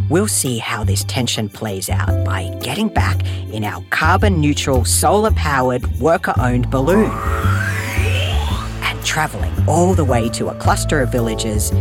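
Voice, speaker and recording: A podcast host reading a scripted introduction in a playful, wry manner. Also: medium pitch. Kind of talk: scripted narration. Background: music.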